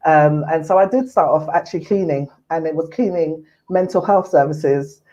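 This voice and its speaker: Woman, 40s, from Ghana, earnest and unhurried guest, dull lo-fi line. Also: sits low, warm tone. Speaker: mental health services manager, guest. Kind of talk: casual interview chat. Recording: muffled lo-fi audio.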